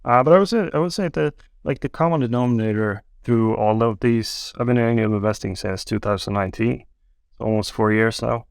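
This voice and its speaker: A man, telling his story as a podcast guest in a relaxed, wry way. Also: mid-range voice, a little fast, gravelly, no room sound, clean studio sound. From Sweden. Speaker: guest, angel investor. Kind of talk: casual podcast interview.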